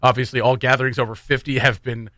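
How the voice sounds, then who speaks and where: Bright-voiced man chatting on morning radio. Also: medium pitch, little pitch movement, fast, no echo, studio quality. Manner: joking, loose and rambling. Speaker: radio morning show host. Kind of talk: casual radio chat with community announcements.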